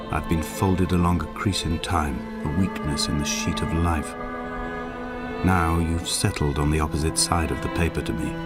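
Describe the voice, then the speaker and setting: Solemn grieving castaway man over music. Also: extremely low pitch. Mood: hushed, resigned. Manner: solemn, poetic, deliberate delivery. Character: grieving, feverish, injured castaway man unraveling into poetic delirium. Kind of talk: literary dramatic monologue narrating a video game.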